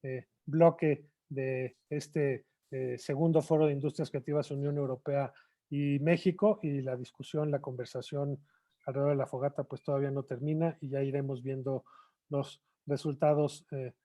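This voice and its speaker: Man, official closing speech, mellow tone, hesitant with repeats. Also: mid-range voice, fairly even intonation, moderate pace. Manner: searching for words, frequent "eh" and repeats.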